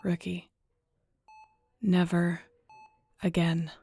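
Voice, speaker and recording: A woman playing a fierce, protective, stubborn but tender wolf-shifter in an intimate audio roleplay, hushed and firm. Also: on the low side, flat delivery, extremely slow, gravelly, husky, full character voice. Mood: stern, protective.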